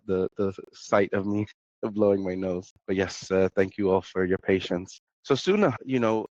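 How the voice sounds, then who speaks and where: A man's voice: deep voice, darkish tone, dry recording, highs cut off. A man with a cold and a nasal sound, hosting a podcast interview in a conversational way with some fillers and restarts. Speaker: podcast host.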